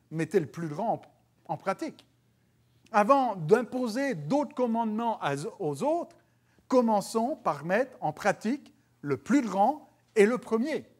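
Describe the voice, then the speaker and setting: A man in his thirties with a French accent preaches from a church stage before dark curtains. His voice rises with emphasis whenever he quotes scripture. He speaks into a headset mic. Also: sits high. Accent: French (France). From France. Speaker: pastor. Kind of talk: sermon.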